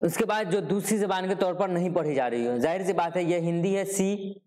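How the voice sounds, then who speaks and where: A man's voice: high-pitched, fairly quick, darkish tone, in a large hall. A male teacher from Bihar, giving an exam-prep lecture and answering his own questions. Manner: repetitive, asks questions and answers them himself.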